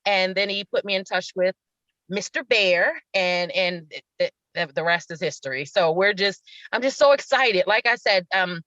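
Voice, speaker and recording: Woman, middle-aged, bright voice, effusive storytelling, dull lo-fi recording. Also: medium pitch, moderate pace, no echo. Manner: effusive, repeats words for emphasis. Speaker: stage actress, interview guest. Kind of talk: casual interview storytelling.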